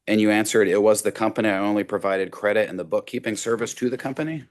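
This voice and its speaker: Deep-voiced male attorney questioning. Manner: measured, methodical questioning. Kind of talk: courtroom cross-examination. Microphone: video call.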